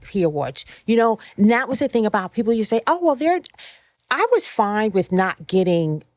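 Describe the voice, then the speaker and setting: A woman's voice: mid-range voice, animated, a little fast, no echo. A middle-aged woman chatting casually as a podcast guest, telling career stories with emphatic repetition. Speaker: podcast guest, fashion stylist.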